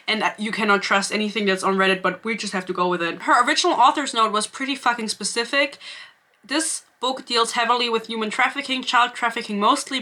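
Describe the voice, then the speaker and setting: A woman's voice: a little high, bright, forceful tone. A young woman with a German accent, ranting sarcastically and swearing freely in a casual book review vlog to camera.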